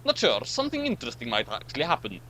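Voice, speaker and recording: A man doing a dramatic reading with casual commentary, halting and retaking his lines. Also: mid-range voice, wildly swooping pitch, sharp tone, a little hiss or hum. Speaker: co-reader and commentator.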